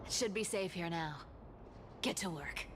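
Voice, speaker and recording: A woman's voice: fairly low pitch, normal speed, background noise, close to an everyday voice. A woman voicing a sly, playful, vain thief boss in a video game, with a teasing, haughty manner. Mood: smug, bored. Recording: loud game music over the voice.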